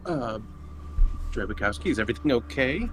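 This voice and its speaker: A man's voice: mid-range voice, expressive, moderate pace, music in the background. A man playing a tabletop roleplaying game, chatting informally with a hesitant, dry delivery. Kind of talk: casual in-character game chat. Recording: clearly noisy background.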